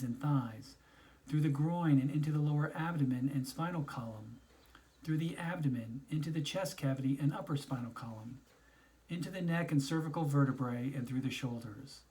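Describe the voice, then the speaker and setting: A man narrating a scripted guided meditation in a soothing, instructional way, pausing between phrases. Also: mid-range voice, warm tone, rough recording.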